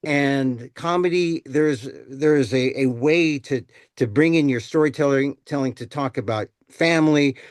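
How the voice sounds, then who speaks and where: A man's voice: medium pitch, measured pace, hardly any pauses, no echo. A man who performs comedy and storytelling, talking casually in a panel discussion.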